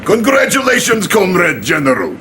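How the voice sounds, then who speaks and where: An actor playing a jovial, gloating Soviet premier with a Russian accent in a video game cutscene, theatrical and playfully menacing. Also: male voice, high-pitched, wildly swooping pitch, moderate pace, bright, forceful tone, a little hiss or hum, heavily acted. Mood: grandiose, commanding.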